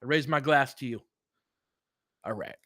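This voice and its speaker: A man's voice: mid-range voice, moderate pace, bright, forceful tone, dry recording, studio quality. A man doing casual live basketball play-by-play in short, clipped phrases, with informal asides as a fan would make. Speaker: play-by-play commentator and streamer.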